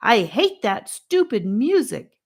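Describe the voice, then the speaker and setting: A woman's voice: on the high side, big pitch swings, slow pace, voice pushed up, exaggerated voice. A woman voicing a plucky, blunt little boy in an audiobook, speaking with feisty emphasis. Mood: angry.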